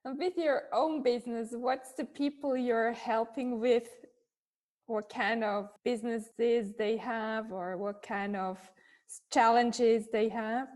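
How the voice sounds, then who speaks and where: A woman podcast host asks her guest a question, unhurried and halting. Her voice sits a little high, and she gives each phrase room. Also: steady tone, a little mellow. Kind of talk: interview questions.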